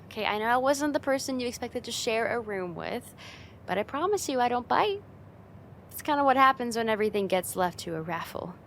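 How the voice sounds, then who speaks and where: A woman's voice: mid-range voice, a little hiss or hum, lightly acted. A woman playing a friend's teasing older sister in a casual, conversational ASMR roleplay spoken straight to the listener. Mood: coaxing, friendly.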